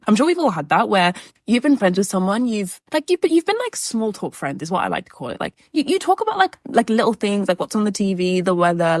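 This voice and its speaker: A woman's voice: medium pitch, dry recording, clean studio sound. A woman in her twenties who gives earnest self-help talk in a chatty way, full of "like" fillers. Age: 20s.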